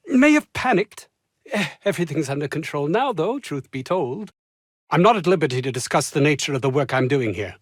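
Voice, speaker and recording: Pompous, high-strung scientist, swooping pitch. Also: male voice, dry recording, studio quality. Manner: pompous, wordy, formal diction with flustered hesitations. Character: pompous, anxious, self-important male research scientist. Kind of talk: video game character dialogue.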